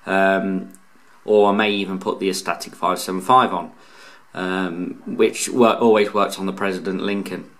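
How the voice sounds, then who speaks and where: A man's voice: low voice, fairly even intonation, normal speed, a bit bright. A man talking casually and informally through a hands-on product review, explaining as he goes with some hesitation. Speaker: radio hobbyist reviewer, channel host. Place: indoors, off camera beside a tabletop radio.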